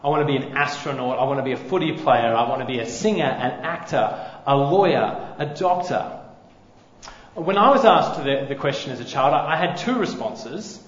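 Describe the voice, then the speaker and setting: Loud man preaching in an echoey hall. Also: mid-range voice, normal speed. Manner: loud voice, relaxed and self-deprecating. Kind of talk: wedding-day sermon. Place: church hall. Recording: echoey hall, slightly dull sound.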